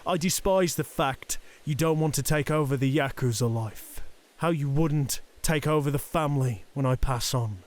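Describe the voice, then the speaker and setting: A man's voice: fairly high pitch, normal speed, hardly any pauses, a little mellow, natural voice. A man playing a stern, proud Yakuza boss and conflicted father in an audio roleplay drama, speaking formally and with command. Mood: grudging, pained.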